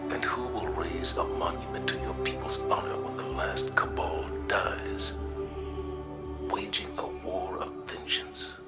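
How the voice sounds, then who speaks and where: Deep, stern, sober leader. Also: male voice, in a whisper. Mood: grave warning. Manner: grave, measured. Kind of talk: dramatic video game dialogue. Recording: loud music over the voice.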